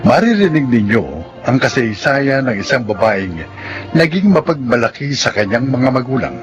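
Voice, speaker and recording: A man with a Filipino accent announcing a radio drama as its host, in a deliberate, theatrical scripted style. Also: medium pitch, sing-song, music in the background.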